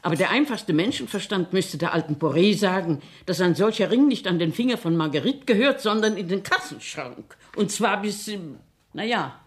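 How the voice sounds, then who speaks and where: A woman's voice: mid-range voice, expressive, normal speed, natural voice. An actress playing a strict, fussy old grandmother in a German radio play, scolding and bossy with emphatic theatrical delivery. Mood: exasperated, worldly.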